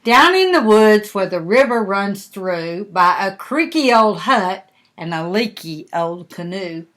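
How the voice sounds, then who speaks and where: Older woman reading slowly to young children. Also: medium pitch, expressive. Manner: gentle explaining, folksy, addressing young children. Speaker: grandmother reading a picture book to her grandchildren. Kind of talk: storybook read-aloud with chatty asides.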